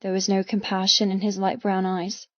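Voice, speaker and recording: A woman narrates a novel in the first person, intense and dramatic. Her pitch is medium. The recording is very dry, with a slightly muted top end. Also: completely flat delivery, moderate pace, fairly bright tone, no room sound, lo-fi sound. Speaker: audiobook narrator.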